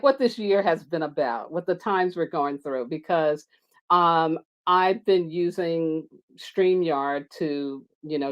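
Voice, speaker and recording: A woman hosting an astrology live stream in a loud, chatty, animated way, her mic set down on a table. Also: muffled recording. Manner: chatty, animated, laughs often and goes high when excited. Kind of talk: casual live-stream talk. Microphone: microphone on a table.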